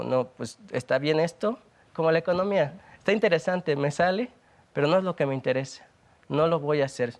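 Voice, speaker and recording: A young man with a Mexican accent, speaking as a guest in a TV interview, earnest and reflective, often pausing and restarting his sentences. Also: medium pitch. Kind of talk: conversational TV interview.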